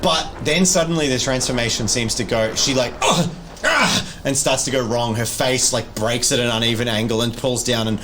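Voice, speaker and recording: A man running a tabletop horror game, narrating casually in graphic, halting descriptions and explaining rules. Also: mid-range voice, fairly even intonation, background noise. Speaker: game master.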